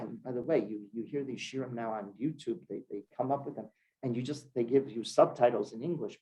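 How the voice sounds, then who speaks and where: A man from Cleveland, a rabbi teaching a congregation, who stresses key words and then drops his voice low. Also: darkish tone, poor audio. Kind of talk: Torah class sermon. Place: synagogue hall with congregation.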